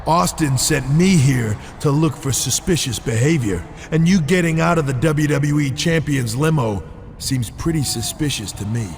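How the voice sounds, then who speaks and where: A man's voice: fairly high pitch, moderate pace, vocal fry. A man playing a suspicious, probing wrestler deputy in a video game cutscene, questioning and skeptical. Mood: accusing. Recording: noisy outdoor-like background ambience.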